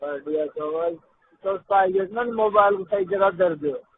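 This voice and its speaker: A man's voice: high-pitched, a little slow. A man with a Rajasthani accent chatting casually on the phone, often in short questioning replies. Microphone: phone call. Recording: muffled phone line with faint noise.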